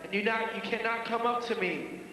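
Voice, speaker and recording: A man's voice: sits high, fairly quick, pushed and bright, slight background noise. A man in his thirties speaking earnestly and firmly to a crowd from the stage, lecturing the younger dancers. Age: 30s. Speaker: b-boy addressing the crowd on stage. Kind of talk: public apology speech to a crowd. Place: large event hall. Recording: roomy hall echo from far off.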